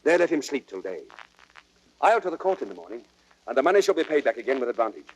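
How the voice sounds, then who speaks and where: An actor playing a jovial, mocking young prince in old radio Shakespeare style. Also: male voice, mid-range voice, big pitch swings, fast, husky, poor audio. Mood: amused, brisk. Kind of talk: 1930s radio Shakespeare acting.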